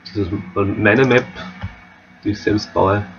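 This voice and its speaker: A man with an Austrian accent walking viewers through a game map editor, relaxed and explaining step by step. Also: fairly low pitch, measured pace, muffled recording, soft background music. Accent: Austrian German. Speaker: modding tutorial host. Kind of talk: screen-recorded software tutorial.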